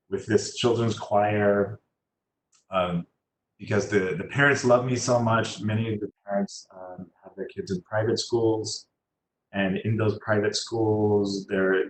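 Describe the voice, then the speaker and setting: A man giving informal career advice as a guest on a video call, speaking haltingly and often trailing off. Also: on the low side, steady tone. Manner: hesitant, halting delivery with trailing phrases. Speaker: guest, landscape designer and choral musician. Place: indoor room on a video call. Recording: echoey room, faint background noise.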